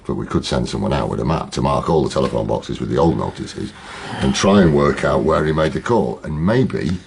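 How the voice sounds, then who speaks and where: An actor playing a gruff, persistent police detective in a radio drama, with a gravelly, husky voice. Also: male voice, extremely low pitch, expressive, a little fast, slight background noise, full character voice. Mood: insistent.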